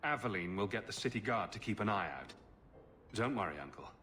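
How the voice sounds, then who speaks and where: A confident fantasy game hero with a lowish voice. He is dry and wry, with lively intonation and faint ambient noise behind him. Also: fairly quick. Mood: concerned. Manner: dry, teasing. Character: wry, self-assured male adventurer hero. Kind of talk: video game voice acting.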